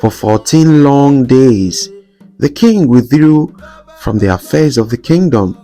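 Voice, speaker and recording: A man narrating a scripted folktale in a solemn, dramatic storytelling manner with measured phrasing. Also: medium pitch, lively intonation, dark tone. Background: background music.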